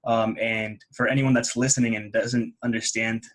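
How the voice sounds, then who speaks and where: A man's voice: lowish voice, flat delivery, normal speed. A man hosting a casual podcast interview, talking with enthusiasm and giving advice. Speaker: podcast host.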